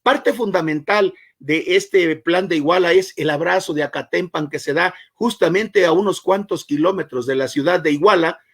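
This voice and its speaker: A man from Mexico's Guerrero coast, speaking as a chronicler on a virtual panel, pausing often on filler sounds as he talks. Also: high voice, vocal fry, fairly bright tone. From Mexico, Costa Chica of Guerrero. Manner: hesitant, many filler "eh" pauses. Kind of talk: formal virtual panel talk. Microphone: video call.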